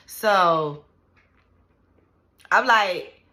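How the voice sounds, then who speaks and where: A woman's voice: lowish voice, drawn-out words, bright, forceful tone. A woman in her thirties venting casually to the camera, blunt and profane, with lots of "like" and "you know what I'm saying". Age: 30s. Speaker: vlogger.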